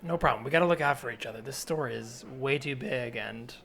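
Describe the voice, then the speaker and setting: A man's voice: mid-range voice, a little fast, vocal fry, fairly bright tone. A man improvising a helpful, awkward fellow shopper in a comedy scene, speaking hesitantly with oddly earnest politeness. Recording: faint background noise, store soundscape.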